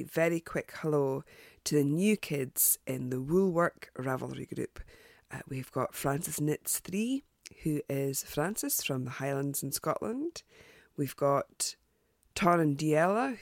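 A woman hosting a podcast, chatty and hesitant with lots of ums and restarts, sounding croaky and congested from a cold. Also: low-pitched, a little mellow, dry recording, studio quality. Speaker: podcast host. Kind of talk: casual podcast sign-off.

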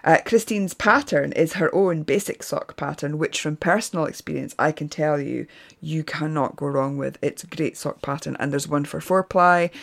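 A woman's voice: low voice, gravelly. A woman hosting a podcast, reading a scripted round-up with occasional fillers. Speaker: podcast host. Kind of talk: scripted narration.

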